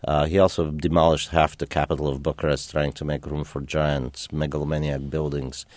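Very deep-voiced reflective man on radio. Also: flat delivery, a little mellow, dry recording, clean studio sound. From Romania. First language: German. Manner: reflective, halting, many fillers. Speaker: radio guest, poet. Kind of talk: call-in radio interview.

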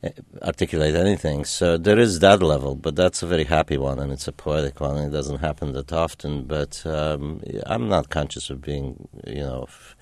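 A man from Romania whose first language was German, a radio guest talking in a reflective, halting way with many fillers. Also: very deep voice, steady tone, a little mellow, dry recording. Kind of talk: call-in radio interview.

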